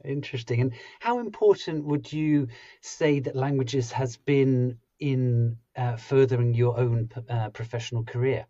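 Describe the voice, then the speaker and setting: A man in his thirties hosts a podcast interview, curious and friendly, with a mellow, warm tone. The sound is dry and a bit dull, from a desk mic on a call. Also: medium pitch, measured pace, dry recording. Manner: friendly, curious, some fillers. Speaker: podcast host. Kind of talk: interview questions. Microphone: headphones with a desk mic.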